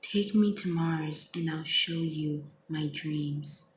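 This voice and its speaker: Hushed, slow young woman whispering ASMR. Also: sits low. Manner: hushed, gentle, unhurried. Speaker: ASMR host reading their own poems. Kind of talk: soft-spoken, half-whispered ASMR poem reading. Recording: echoey, with clear background noise.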